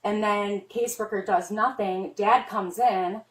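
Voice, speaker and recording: A woman's voice: mid-range voice, steady tone, a little slow, slight background noise. A middle-aged woman, a mother, talking to a police officer in a rambling, earnest and worried way, full of "like" fillers. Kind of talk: spoken statement to an officer. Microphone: police body camera worn by an officer. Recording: roomy, distant body camera audio.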